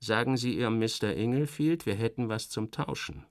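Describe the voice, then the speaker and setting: A man puts on a stern Russian spymaster for a radio play, raised in pitch above his own voice. He is officious and menacing, setting out each phrase deliberately in a close, dry sound. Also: no echo, lightly acted. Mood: grave, threatening. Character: stern, official Russian male intelligence chief, measured and menacing.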